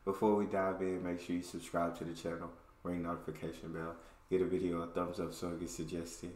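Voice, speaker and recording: A man's voice: deep voice, level tone, brisk, a little mellow, echoey room. A young man hosting a reaction video, chatting casually with slangy asides over a headset mic.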